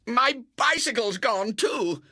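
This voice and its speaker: A man's voice: extremely high pitch, animated, slow pace, sharp tone, dry recording, studio quality, voice pushed up, exaggerated voice. A man voicing an earnest, flustered, self-righteous young British man who stammers and pleads, in a dramatised audiobook. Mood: furious.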